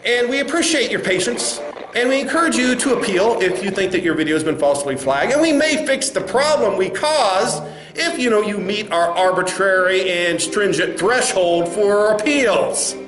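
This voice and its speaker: A man's voice: high voice, expressive, normal speed, a bit bright, a little hiss or hum. A man playing a smug, dismissive corporate spokesman in a comedy sketch, stammering through condescending doublespeak. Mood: smug, evasive. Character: smug, dismissive male corporate spokesman facing angry creators. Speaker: spokesman at a press address. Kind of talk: satirical comedy sketch speech. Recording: roomy echo with faint music.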